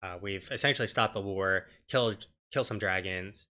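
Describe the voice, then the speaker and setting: Guy streaming a game, chatting casually, really bright punchy voice, on the low side. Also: moderate pace. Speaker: let's play streamer.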